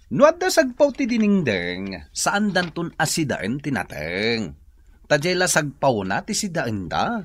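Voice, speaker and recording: Man acting lazy husband, lively rise and fall. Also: on the high side, a little slow, dry recording, exaggerated voice. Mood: nonchalant. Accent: Ilocano. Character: lazy, greedy, teasing village husband. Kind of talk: Ilocano radio drama acting.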